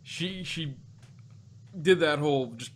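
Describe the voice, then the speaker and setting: A man co-hosting a review show in casual chat, speaking haltingly and often chuckling as he talks. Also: fairly high pitch, background noise. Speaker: podcast co-host reviewing audio dramas.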